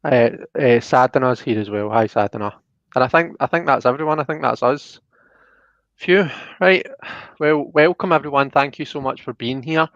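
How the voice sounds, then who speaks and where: A man's voice: mid-range voice, moderate pace, no echo, muffled recording. A man hosting a casual live stream in a chatty, informal, friendly way. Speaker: host.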